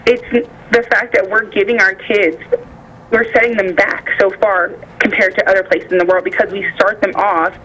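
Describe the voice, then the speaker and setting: A woman's voice: medium pitch, fairly even intonation, normal speed, breathy edge. An American woman speaking by phone as a nutritionist guest, explaining things informally and illustrating points with imagined quotes. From United States. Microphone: phone call. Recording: bright voice over phone line.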